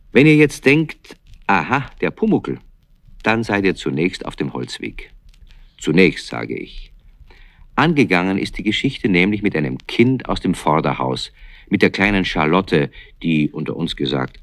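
A man's voice: low voice, no echo, studio quality. A man narrating a scripted children's story in a wry, confiding way.

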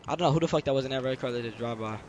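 A man's voice: medium pitch, very fast, darkish tone. A man streaming a game, chatting casually and role-playing with friends in slangy, sweary talk. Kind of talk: casual gaming chat, role-play banter. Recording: noisy game audio with vehicle sounds.

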